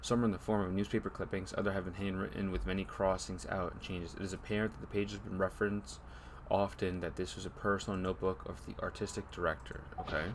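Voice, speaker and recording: Male game streamer: lowish pitch, laid-back and slangy, casual reactions and read-alouds, faint noise. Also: flat delivery, quick pace.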